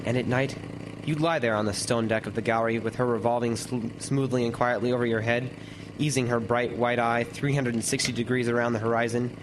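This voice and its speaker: A man's voice: medium pitch, fairly even intonation, background noise, lightly acted. Man acting a vivid storytelling lighthouse keeper. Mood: brooding, vivid. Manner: vivid, deliberate storytelling with lingering descriptions. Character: lighthouse keeper recounting a frightful night. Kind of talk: dramatic first-person narration, old-time radio horror.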